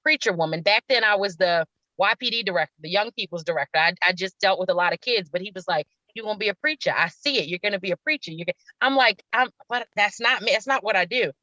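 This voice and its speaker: Woman, middle-aged, bright voice, effusive storytelling, dull lo-fi recording. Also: mid-range voice, fast talker, no echo. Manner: effusive, repeats words for emphasis. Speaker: stage actress, interview guest. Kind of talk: casual interview storytelling.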